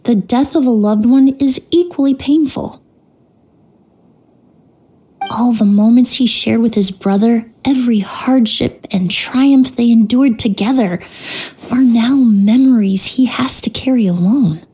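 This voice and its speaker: A woman voicing a calm, composed young investigator in a video game, speaking in a measured, gentle way. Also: fairly high pitch, breathy edge. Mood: solemn.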